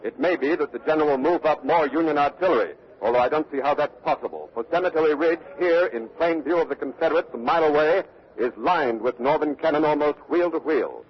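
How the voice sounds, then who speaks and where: A man's voice: on the high side, quick pace, husky. An actor playing an earnest male war correspondent, formal and urgent, in a 1940s radio news dramatization. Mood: tense, reporting. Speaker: radio reporter and interviewer. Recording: dull vintage radio broadcast recording.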